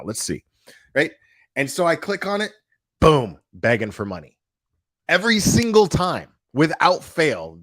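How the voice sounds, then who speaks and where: A man's voice: mid-range voice, a bit bright. A man ranting in a casual reaction video, blunt and profane, with lots of restarts and slangy fillers. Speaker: online commentator.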